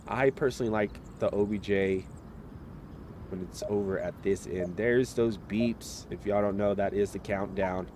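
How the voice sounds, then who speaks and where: A man casting a live esports match, relaxed and chatty, talking straight to viewers while getting over a slight cold. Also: fairly low pitch, normal speed, background noise. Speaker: esports caster.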